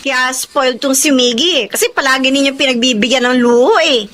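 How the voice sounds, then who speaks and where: A woman's voice: high voice, a little fast, fairly bright tone, a little hiss or hum. An actress playing a worn-out young woman who supports her whole family, scolding and tearful by turns. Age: young adult. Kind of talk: TV-style family drama acting.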